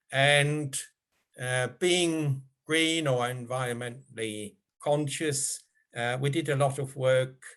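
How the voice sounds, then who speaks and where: An older man from Switzerland, speaking as a guest at a club meeting over a video call. He talks in a measured, polite way, with frequent filler pauses. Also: mid-range voice.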